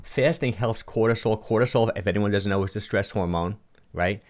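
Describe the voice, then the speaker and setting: Rich: A man in his sixties with a low, deep voice, chatting on a livestream from a home studio into a close studio mic. He is animated and gestures as he talks, often restarting and repeating words while answering viewer questions. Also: moderate pace. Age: 60s. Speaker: fitness coach hosting a livestream. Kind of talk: casual livestream talk, reading viewer comments. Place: indoor home studio with colored lights. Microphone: large studio mic on a boom in front of him.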